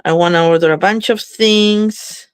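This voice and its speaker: Casting note: congested woman crafter, chatty, dry close sound, mid pitch. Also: steady tone, measured pace, clean tone, no echo. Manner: chatty, thinking aloud while working. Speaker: craft demonstrator. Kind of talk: live crafting demo chat.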